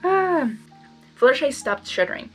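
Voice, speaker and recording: A woman reading fan fiction aloud expressively, breaking into chatty, giggly, excitable asides. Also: sits high, unhurried, soft background music. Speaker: story reader.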